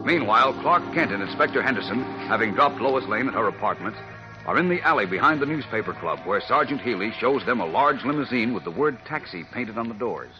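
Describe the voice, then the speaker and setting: A man's voice: medium pitch, lively intonation, brisk. A man narrating a 1940s radio serial as its announcer, in a dramatic, emphatic style. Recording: muffled old radio recording. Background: music.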